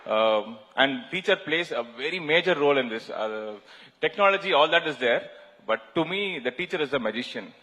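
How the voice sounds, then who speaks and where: A man's voice: a little high, animated, normal speed, sharp tone, highs cut off. Indian man speaking in a reverberant hall. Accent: Indian English. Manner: hesitant, many fillers and restarts. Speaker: panelist. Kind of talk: panel discussion answer. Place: large conference hall.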